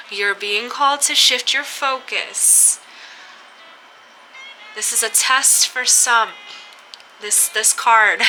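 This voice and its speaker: Slow, dreamy woman reading tarot. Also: on the high side, slight background noise, low-quality recording. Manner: slow, dreamy, with soft laughs and pauses. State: stuffy from a cold. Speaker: tarot reader. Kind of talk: spiritual tarot reading for camera.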